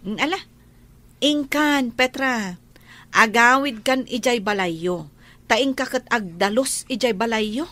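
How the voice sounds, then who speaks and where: A woman's voice: a little high, slow, fairly bright tone, no room sound. A woman with a northern Philippine accent acting a pious, practical friend in a radio drama. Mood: devout, instructive.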